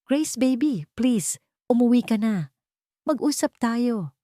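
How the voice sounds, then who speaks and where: A woman voicing a manipulative rich husband in an audio drama, controlling and deliberate. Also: on the high side, expressive, warm tone, no echo, studio quality, voice pushed up. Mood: coaxing, pleading. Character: manipulative, menacing rich husband, coaxing then coldly threatening.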